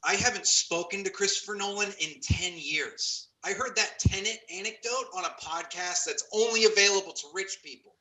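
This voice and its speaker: A man's voice: high voice, normal speed, few pauses, pushed and bright, rough recording. A man playing a smug, self-pitying Hollywood actor in a comedy table read. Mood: defensive.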